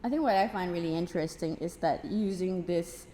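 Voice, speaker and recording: A middle-aged woman, reflective and measured as she speaks in a casual cast interview. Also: on the low side, mellow. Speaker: stage play cast member. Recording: reverberant hall, faint background noise.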